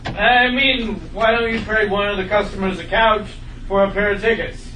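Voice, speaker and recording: A man plays a helpful shop coworker with a high, bright voice. It is a sitcom table read, picked up from a distance in an echoey room with faint noise. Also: steady tone, moderate pace, lightly acted. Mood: suggesting. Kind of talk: comedy script table read. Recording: echoey room, far from mic.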